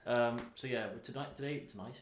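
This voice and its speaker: A man co-hosting a casual podcast, chatty and rambling, slightly hoarse from tonsillitis, often laughing. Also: mid-range voice, fairly even intonation, fairly quick, slight background noise. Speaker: podcast co-host. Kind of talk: casual chat. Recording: echoey room.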